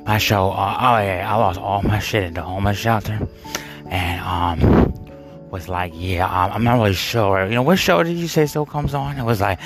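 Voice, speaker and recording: A man's voice: on the low side, normal speed. A man telling a rambling personal story, full of fillers, restarts and bursts of laughter at himself. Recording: music playing behind.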